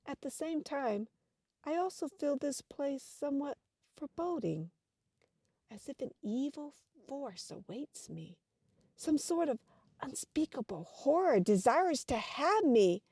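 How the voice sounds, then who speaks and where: A woman's voice: high voice, expressive, measured pace, darkish tone, no room sound. A woman playing an inquisitive, headstrong and dreamy magazine reporter in an audio drama, with polite, theatrical delivery. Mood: entranced, uneasy.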